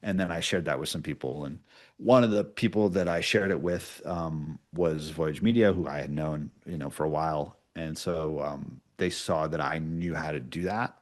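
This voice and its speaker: A man's voice: a bass voice, normal speed. A man speaking as an interview guest, explaining his work in an informal, conversational way. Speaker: podcast producer, interview guest. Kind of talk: casual explanatory interview talk.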